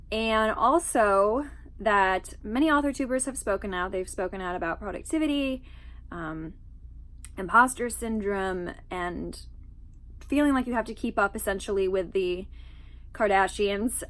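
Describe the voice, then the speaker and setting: A woman's voice: medium pitch, a bit bright. Young female channel host, a bit stuffy, chatty and unscripted, lots of ums. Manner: chatty, frequent ums and asides. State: stuffy from allergies. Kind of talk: casual talk to camera.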